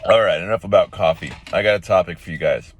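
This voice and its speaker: Low, raspy-voiced male vlogger, sore throat, casual rambling delivery. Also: quick pace, a little hiss or hum. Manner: rambling, many fillers and restarts. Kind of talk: casual morning vlog talk.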